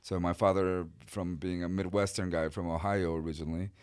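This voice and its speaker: A middle-aged man from Chile, a guest artist telling his life story in a relaxed, rambling way with many fillers. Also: deep voice, a little fast, clean tone. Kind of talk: casual interview storytelling.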